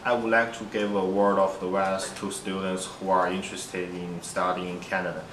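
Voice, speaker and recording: Deep, monotone man, echoey. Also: normal speed. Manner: monotone prepared statements. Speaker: international student. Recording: echoey room from far off, noisy.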